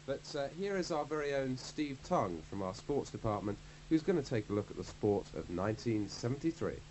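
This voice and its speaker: A man's voice: mid-range voice, a little fast, rough recording. A male radio host thanking a guest and introducing the next segment, genial and prone to stumbling restarts. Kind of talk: radio presenting. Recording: radio broadcast with steady hum.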